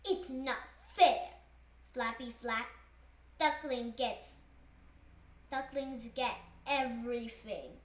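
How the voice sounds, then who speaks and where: Casting: woman as a jealous storybook pigeon, dramatic and whiny, very high, roomy sound. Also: slow, pauses often. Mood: whining, ranting. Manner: dramatic, indignant, whiny ranting. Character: excitable, jealous, pleading pigeon from a picture book. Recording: roomy echo, slightly dull sound.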